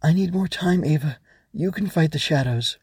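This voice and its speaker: A brave little female bug creature from a storybook, voiced by a man. She is protective and instructive, speaking in a lifted pitch with urgent encouragement, close and dry. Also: normal speed, a little mellow, husky, dry recording. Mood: weak, tired. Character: Swoosh, small female glowing Growbug, brave protective encouraging companion.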